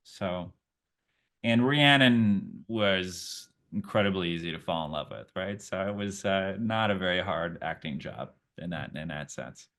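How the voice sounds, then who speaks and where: A man's voice: low voice, a little slow, fairly bright tone, a little hiss or hum. A man whose first language is Portuguese, an actor answering interview questions in a friendly, chatty way, thinking aloud as he goes. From Brazil.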